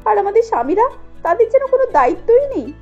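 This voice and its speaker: A woman voicing an indignant, bitter young pregnant daughter in a cartoon story, scolding with sharp rhetorical questions. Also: extremely high pitch, big pitch swings, fast, highs cut off, soft background music, voice pushed up. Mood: bitter, aggrieved.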